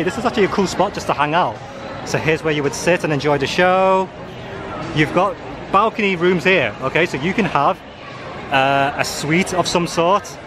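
A man giving a casual walking video tour as a travel vlogger, talking as he shows viewers around. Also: a little high. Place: walking around a busy cruise ship, indoors and on open decks. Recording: busy, noisy public ship ambience.

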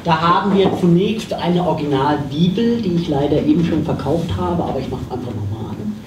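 A man playing a brisk auctioneer in a live comedy audio play, chanting bids and drawing out his calls. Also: a little high, lively intonation, natural voice. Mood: brisk, dry. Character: brisk theatrical male auctioneer selling off a practice. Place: small theatre stage, indoors. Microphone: stage microphone, recorded by far camera. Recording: noisy, echoey, distant live stage recording.

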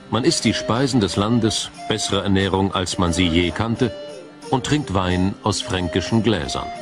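A man reading a scripted, dramatic documentary narration in a measured, solemn storytelling manner. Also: fairly low pitch.

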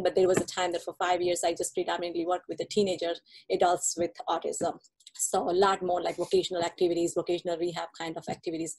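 A woman's voice: mid-range voice, darkish tone, rough recording. Woman presenting on a video call. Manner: friendly, explanatory, laughs lightly, frequent fillers. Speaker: occupational therapist presenter. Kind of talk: webinar presentation. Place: home room, indoors.

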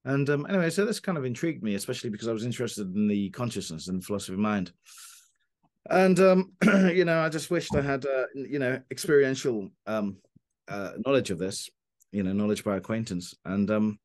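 A man, a philosopher answering interview questions over a video call from his book-lined study, halting with many fillers and restarts. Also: mid-range voice, animated, darkish tone. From Cornwall, England. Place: home study lined with books. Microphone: video call webcam.

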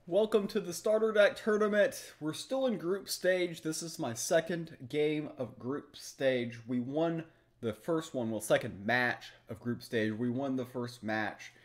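A man's voice: a little high, a little slow. A young adult man giving live, analytical commentary on a game as he plays, thinking aloud through each move. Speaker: streamer, card game player. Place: small room at home, indoors.

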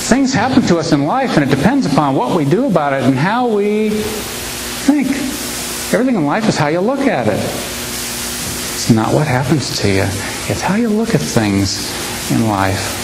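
A man in his fifties giving a humorous speech to a live audience, telling stories with timed pauses and punchlines. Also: fairly high pitch, sing-song. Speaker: humorist speaker. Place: large hall with an audience. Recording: echoey hall recording with hiss.

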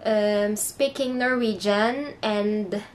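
A woman's voice: mid-range voice, fairly even intonation, slow, a little hiss or hum. A young woman with a Filipino accent, whose first language is Filipino, chatting casually in a haul vlog from her bedroom. She searches for English words and talks in a hesitant, chatty way. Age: young adult. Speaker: vlogger. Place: bedroom indoors.

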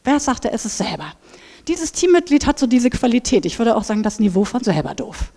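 A woman in her thirties on a headset mic, giving a lively conference talk and acting out inner voices. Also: fairly high pitch, fairly quick. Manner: animated, acts out inner voices. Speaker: speaker at a conference. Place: large brick hall with seated audience. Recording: reverberant hall.

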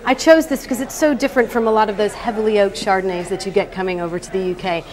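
Lively young woman pitching wine. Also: racing. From United States. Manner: lively, laughing, sparring. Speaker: wine expert guest. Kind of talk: competitive pitch and debate. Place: TV studio kitchen set. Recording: TV studio with audience noise.

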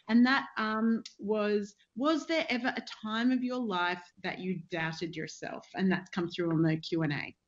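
A woman's voice: mid-range voice, fairly even intonation, measured pace, hardly any pauses. A woman hosts an online Q&A over a webcam, upbeat, with plenty of fillers as she hands over to the speakers. Her voice sits in the middle range, and the audio is slightly dull. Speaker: forum moderator. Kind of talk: moderating an online Q&A. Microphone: webcam on a video call.

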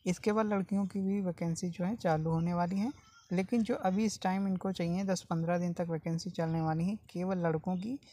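A man hosting a job-vacancy channel, talking viewers through an opening in a steady, explanatory voice-over that keeps addressing them as friends. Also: high-pitched. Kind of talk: informal informational voice-over. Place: voice-over over a phone screen recording.

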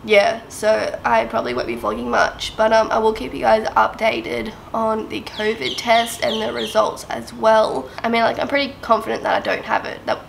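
A woman's voice: fairly high pitch, moderate pace, gravelly, hardly any pauses, a little hiss or hum. A young woman vlogging to camera while sick, her voice nasal and blocked up, rambling with lots of fillers. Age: young adult. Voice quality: nasal, congested. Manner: chatty, rambling, many fillers like "um" and "I mean, like". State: sick with a blocked nose and sore throat. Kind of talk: casual to-camera vlog talk. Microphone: camera close up at arm's length.

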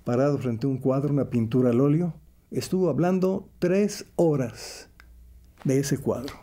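A man's voice: mid-range voice, moderate pace, dark tone. An older man with a Mexican accent, a veteran radio announcer reminiscing in an interview.

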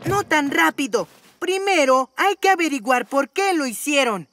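A high, boyish cartoon hero with a Latin American Spanish accent, music playing underneath. The young boy is earnest, alert and urgent. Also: expressive, a little slow. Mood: concerned. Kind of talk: animated children's cartoon dubbing.

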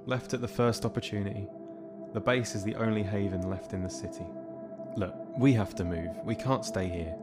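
A man's voice: fairly low pitch, moderate pace, loud music behind the voice, close to an everyday voice. A young man playing a weary, dryly sarcastic soldier rescuing survivors in an audio drama. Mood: urgent, bitter. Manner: dry, sarcastic.

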